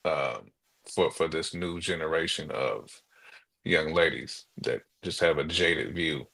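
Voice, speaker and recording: A man's voice: extremely low pitch, measured pace, vocal fry, a bit bright. An American man co-hosting a podcast, giving opinions in an informal, slangy, conversational way. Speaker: podcast co-host. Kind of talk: casual chat, giving opinions.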